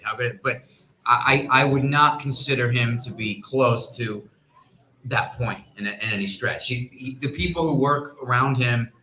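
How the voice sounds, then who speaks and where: A man of medium pitch talks through sports analysis in an echoey live room. He sounds like a reporter on a panel, measured and careful, often halting to rephrase. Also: sharp tone. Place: live stage at a fan convention.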